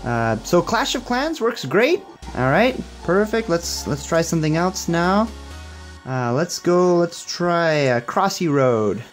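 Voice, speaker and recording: A man's voice: on the high side, very animated, takes it slowly, soft background music. A young man giving a casual hands-on tech demo in an upbeat, approving manner. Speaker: tech reviewer.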